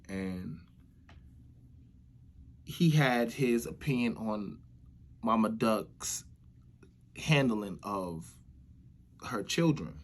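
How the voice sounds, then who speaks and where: A man with an American accent giving casual spoken commentary as the host of a vlog. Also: mid-range voice. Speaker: vlogger hosting commentary show.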